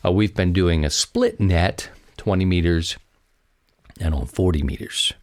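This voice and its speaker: A man's voice: low voice, measured pace, no echo, clean studio sound. A man hosting a podcast solo, talking in a casual, rambling, conversational way and addressing his listeners directly. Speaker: podcast host.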